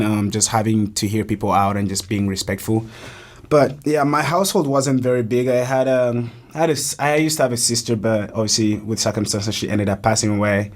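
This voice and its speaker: A man's voice: fairly low pitch, darkish tone. A young man from Uganda, a college soccer player, earnestly telling his story on a casual podcast, often restarting his sentences. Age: young adult.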